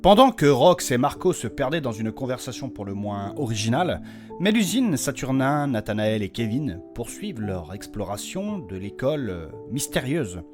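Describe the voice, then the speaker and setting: A male storyteller delivers dramatic fantasy narration. His medium-pitched voice moves widely up and down, with music clearly playing underneath. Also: big pitch swings, normal speed. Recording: music with guitar behind the narration.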